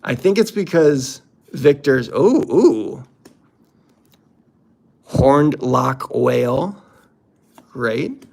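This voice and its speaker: A man's voice: mid-range voice, animated, drawn-out words, gravelly, long pauses, darkish tone. A man hosting a casual unboxing commentary, talking in a playful, jokey, exclamatory way. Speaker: host opening card packs.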